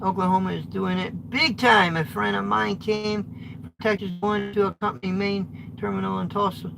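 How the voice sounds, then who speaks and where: Gravelly-voiced American man trucker, high-pitched. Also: fairly even intonation, moderate pace, noisy background. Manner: rambling, blunt, restarts often. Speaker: trucker vlogger. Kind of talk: live-streamed chat with viewers. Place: truck cab.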